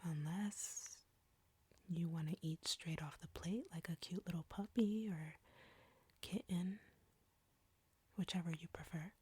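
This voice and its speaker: Female vampire captor, acted by a woman, audio roleplay: low, close and dry, whispery, slow, smug and flirtatious. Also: darkish tone, no echo. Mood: smug teasing. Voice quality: breathy, whispery. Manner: slow, taunting, condescending.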